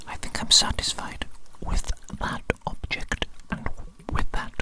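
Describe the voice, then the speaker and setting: A hushed, unvoiced whisper in the style of ASMR medical roleplay. The speaker plays an eccentric, patronising doctor who gives deliberate instructions and softly repeats words of approval. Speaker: ASMR roleplayer acting as a doctor giving a hearing test.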